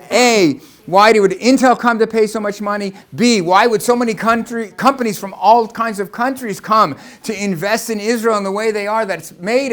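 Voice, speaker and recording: High-voiced American man giving a talk. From Los Angeles, United States. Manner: rhetorical questions, conversational and explanatory. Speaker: lecturer. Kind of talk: presentation talk to an audience.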